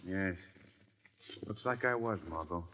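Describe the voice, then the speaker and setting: An actor with an American accent playing a composed, authoritative gentleman detective in an old radio mystery, on a muffled, faintly hissy recording. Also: sits low, measured pace. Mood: composed, commanding. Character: composed, authoritative gentleman sleuth, taking charge. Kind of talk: 1940s radio acting.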